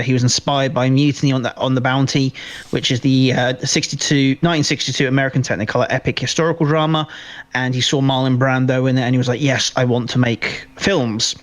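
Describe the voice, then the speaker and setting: Guy chatting about movies on a podcast, kinda rambly, keeps restarting words. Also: medium pitch. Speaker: podcast co-host discussing films. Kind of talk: casual chat.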